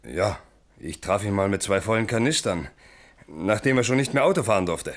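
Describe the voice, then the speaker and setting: An actor playing a blunt, somewhat sheepish ex-convict in a German radio crime drama, talking colloquially. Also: male voice, on the low side, lively intonation, normal speed, close to an everyday voice. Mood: confessing. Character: blunt, sheepish male ex-convict amateur sleuth.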